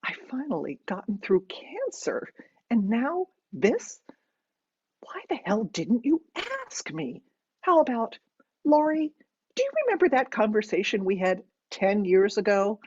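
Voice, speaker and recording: A woman's voice: mid-range voice, sing-song, a little slow, a little mellow, breathy edge, muffled recording. A woman narrator voicing a middle-aged daughter who is stunned and resentful but forcing politeness, in a strained, guarded manner. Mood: exasperated.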